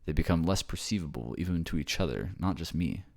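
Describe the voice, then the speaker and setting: A man's voice: very deep voice, animated, brisk, vocal fry, a little mellow, exaggerated voice. A man playing an affectionate, reassuring boyfriend from a ghost family in a casual ASMR-style roleplay. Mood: tender, explaining.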